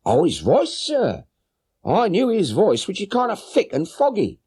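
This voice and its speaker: High-pitched flustered London housewife. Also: male voice, wildly swooping pitch, a little slow, a little mellow, slightly rough, heavily acted. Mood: chatty, obliging. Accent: London working-class. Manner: chatty, deferential, plain-spoken. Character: flustered working-class London boatman's wife, stout and chatty. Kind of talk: audiobook character voice.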